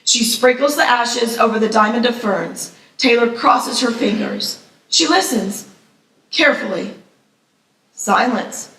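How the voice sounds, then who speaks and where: Fairly high-voiced woman narrator, echoey. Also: a little slow, a bit bright, slight background noise. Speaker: stage-direction narrator. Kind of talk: scripted narration at a live table read. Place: live festival event, echoey venue. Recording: echoey room, distant mic.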